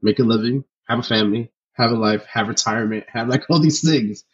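A young man from New Jersey, a filmmaker guest on a podcast, talking casually in a relaxed, rambling way full of "you know" and "like". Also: mid-range voice, brisk, husky, dull audio. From New Jersey, USA. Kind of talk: casual interview chat.

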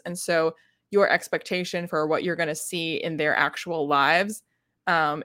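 A woman's voice: deep voice, fairly bright tone, no room sound, studio quality. A woman co-hosting a podcast, casually discussing books in an analytical, explanatory and wry manner. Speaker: podcast co-host.

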